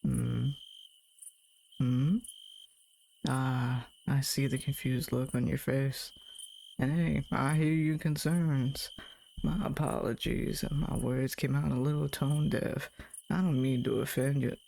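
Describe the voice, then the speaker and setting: An actor voicing a folksy, friendly drifter in a scripted audio monologue, with a familiar, chatty way of talking. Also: male voice, mid-range voice, flat delivery, slow pace, creaky, mellow, slight background noise. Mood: friendly, reassuring. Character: folksy, drawling friendly drifter man with unnatural features. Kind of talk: scripted character monologue to the listener.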